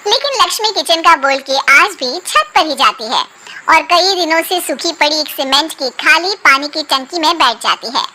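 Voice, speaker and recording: Female cartoon narrator: extremely high, sharp, bright voice for scripted comic storytelling. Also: lively intonation, normal speed.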